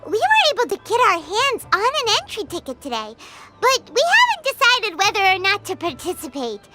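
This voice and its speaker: A woman voicing a tiny, excitable childlike fairy companion in a video game, squeaky and given to indignant exclamations. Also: normal speed, full character voice. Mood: curious chatter. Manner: exclamatory, speaks of self in third person. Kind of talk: animated video game voice acting. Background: loud music.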